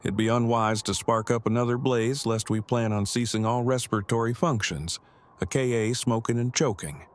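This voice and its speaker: An actor playing a stiff, verbose, bookish man with deadpan, over-technical delivery in an audio drama. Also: mid-range voice, normal speed, lightly acted. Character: stiff, bookish, verbose male survivor, dryly serious.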